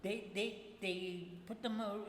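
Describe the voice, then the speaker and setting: Cautious family beaver performed by a man, neighborly, halting, fairly high voice, roomy recording with faint noise. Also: steady tone, a bit bright. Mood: cautioning.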